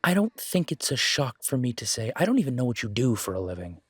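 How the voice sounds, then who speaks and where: A man playing a wary, sardonic werewolf mechanic in an audio drama, with a husky voice and a dry, measured manner. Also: medium pitch, very animated, no room sound. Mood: incredulous. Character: wary, sardonic male werewolf mechanic, blunt but polite. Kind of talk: audio roleplay drama dialogue.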